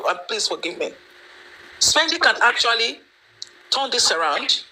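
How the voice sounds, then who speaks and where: A woman's voice: fairly high pitch, creaky, sharp tone, slight background noise, poor audio. A woman giving her opinion in an online discussion, emphatic and lecturing, with many fillers. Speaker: panelist.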